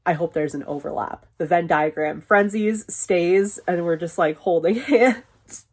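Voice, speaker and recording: A woman's voice: fairly low pitch, measured pace, a little mellow. A young woman vlogging casually from her bedroom, rambling with lots of fillers and swearing, sniffly and losing her voice. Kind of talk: casual chat to camera. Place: bedroom, window open.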